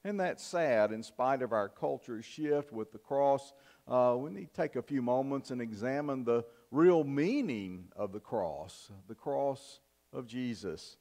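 An older man preaching a sermon in a church, in a measured, earnest style with emphatic pauses. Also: mid-range voice, lively intonation, darkish tone. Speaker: pastor. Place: church sanctuary, at the pulpit. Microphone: fixed camera from the back of the church.

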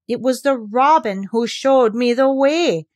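A woman's voice: medium pitch, expressive, moderate pace. A woman voicing a stubborn young girl who speaks with fierce indignation. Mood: obstinate. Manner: obstinate, indignant.

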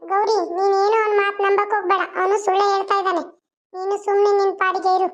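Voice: extremely high pitch, little pitch movement, fast talker, fairly bright tone. A performer voicing a brave, protective young village man who reassures and defies. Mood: reassuring. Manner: reassuring, defiant. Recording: dull lo-fi sound.

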